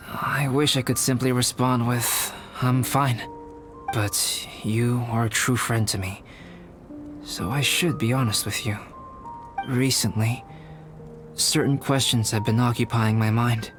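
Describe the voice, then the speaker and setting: A man voicing a composed, scholarly young male alchemist in a video game, formal, measured and thoughtful. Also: mid-range voice, steady tone, over music, close to an everyday voice. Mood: reflective, sincere.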